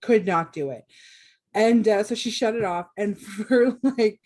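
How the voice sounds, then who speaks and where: This is a woman co-hosting a podcast. She sounds playful and jokey, laughs often, and keeps an easy middle pitch while she tells stories. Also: unhurried, darkish tone. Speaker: podcast co-host. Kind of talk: casual chat.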